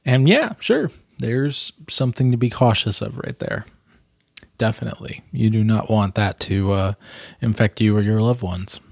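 A man's voice: fairly low pitch, expressive, normal speed, vocal fry, darkish tone, no room sound. A man in his thirties speaking as a vlog host and storyteller, earnest and emphatic, close to a desk microphone. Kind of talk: storybook reading and candid commentary. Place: small plain room indoors.

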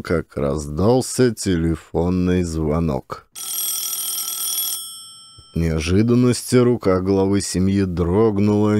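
A man's voice: sits low, mellow. A man narrating a scripted comic story as an actor-reader. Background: music.

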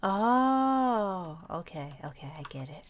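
Curious, naive female survivor for game roleplay, woman acting; animated intonation, playful and questioning. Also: medium pitch, slow. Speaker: roleplay player.

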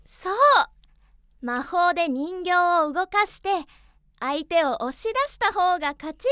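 A woman playing a sweet, easygoing schoolgirl magic student in an anime-style voice drama, cheerful and unhurried. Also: very high voice, animated, fairly bright tone, no room sound, studio quality, heavily acted. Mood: sweet, explaining.

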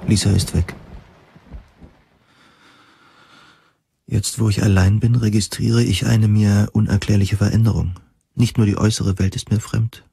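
A man playing a lonely, hypersensitive survivor, narrating a dystopian radio drama in measured, solemn, formal words. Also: fairly low pitch, level tone, vocal fry, warm tone, slightly rough, dry recording, full character voice. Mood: detached, brooding. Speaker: narrator and protagonist. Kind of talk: introspective first-person radio drama narration.

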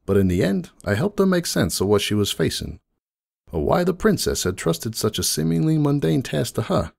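A man's voice: low-pitched, vocal fry, exaggerated voice. A man voicing a friendly, nostalgic unicorn donut shop owner in a dramatic fan fiction reading, chatty and folksy like someone reminiscing.

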